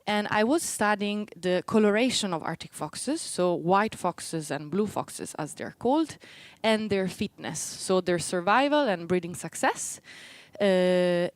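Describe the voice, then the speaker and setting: A woman's voice: mid-range voice, expressive, measured pace, no room sound. A young woman from Rome with an Italian accent, giving an informal academic talk and explaining things step by step as she goes. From Rome, Italy. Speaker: postdoctoral researcher giving a seminar. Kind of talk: informal academic presentation to a live and streamed audience.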